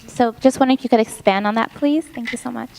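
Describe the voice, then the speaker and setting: A woman's voice: a little high, quick pace, vocal fry, darkish tone, a little hiss or hum. Polite woman asking from the audience. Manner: polite, hesitant. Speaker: audience member asking a question. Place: conference hall.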